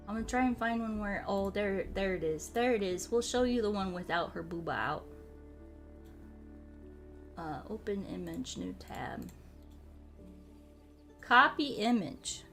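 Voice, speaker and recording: A woman's voice: mid-range voice, bright, forceful tone. A woman livestreamer chatting casually in a playful manner, often repeating her phrases for comic effect. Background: background music.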